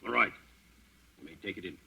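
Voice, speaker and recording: An actor playing a curt, suspicious, bossy guard in a 1940s radio drama, with a husky voice. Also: male voice, low voice, expressive, fairly quick, bright, forceful tone, full character voice. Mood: bullying. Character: bullying, suspicious Gestapo guard giving orders. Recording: dull, lo-fi vintage radio recording.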